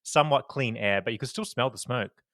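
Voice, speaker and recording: A man co-hosting a casual podcast, chatty and animated, sounding slightly croaky. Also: mid-range voice, brisk, no echo, studio quality. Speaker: podcast co-host. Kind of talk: casual chat. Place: New York.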